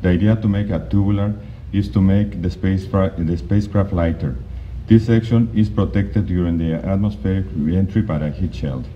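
A man giving a conference slide presentation, speaking haltingly with frequent restarts and fillers. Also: low voice. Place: conference hall. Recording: dull, lo-fi recording with faint noise.

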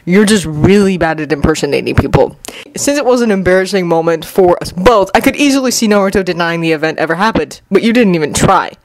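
A woman voicing a cool, smug, unflappable teenage ninja boy with a smooth, deadpan manner in a story reading. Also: on the low side, fast talker, no room sound, poor audio. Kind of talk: fan fiction story reading with character voices.

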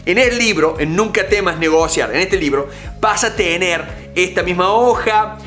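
Emphatic coach in his forties, high bright voice, soft music underneath. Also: normal speed. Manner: emphatic, animated, gestures with hands. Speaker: negotiation coach. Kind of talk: direct-to-camera coaching talk. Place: home office with bookshelves and art.

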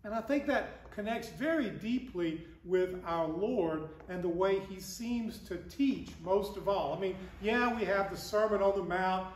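A man's voice: high voice, measured pace, few pauses. An older man, a priest, reading the gospel and giving a conversational sermon with broad gestures, recorded by a far camera in a large wooden church. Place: large wooden church with stained glass, indoors. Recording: echoey church from a distance.

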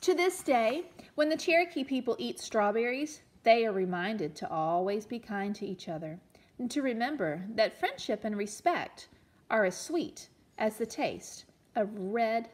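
Woman, elementary teacher, high voice, animated intonation, children's read-aloud, expressive, explanatory asides. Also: measured pace. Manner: expressive, explains words and puts questions to children. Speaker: elementary teacher reading a picture book aloud.

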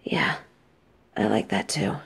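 A woman playing a casual, affectionate, teasing supervillain who gets flustered, speaking haltingly and expressively in an audio drama. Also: fairly bright tone, whispered. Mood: soft, hesitant. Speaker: voice actress in an audio roleplay. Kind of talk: intimate scripted audio drama addressed to a listener.

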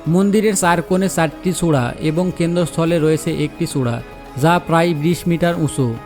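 Slightly high-voiced travel narrator. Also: male voice, moderate pace, over music. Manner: steady, descriptive voice-over.

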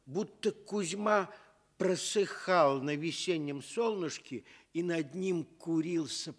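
A man narrating a children's tale for radio with deliberate, expressive phrasing. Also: on the high side. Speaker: storyteller.

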